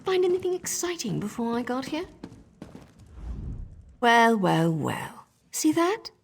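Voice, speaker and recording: A woman's voice: on the high side, sing-song, slow, gravelly, big-room sound, a little hiss or hum, full character voice. An actress voicing a wry, roguish adventurer woman with a sordid past in a video game, sly, teasing and conversational. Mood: playful, teasing.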